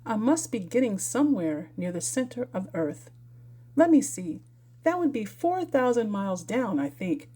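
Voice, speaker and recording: A curious young girl from a storybook, voiced by a woman reader, chattering and wondering aloud to herself. The tone is mellow and gentle, with pitch that rises and falls in a lively way. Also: moderate pace, husky. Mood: musing. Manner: musing aloud, self-questioning. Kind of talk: storybook character reading.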